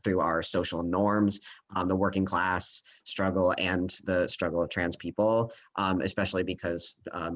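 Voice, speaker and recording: A panelist speaking in a casual discussion, thoughtful and halting, with many pauses and filler words. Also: male voice, low-pitched, a bit bright. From United States.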